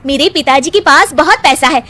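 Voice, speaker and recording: Woman voicing a young cartoon wife, very high pitch, bright and sharp, nagging. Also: brisk. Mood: scolding. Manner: scolding, nagging. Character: young wife, sharp-tongued and exasperated with her idle husband. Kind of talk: cartoon voice acting.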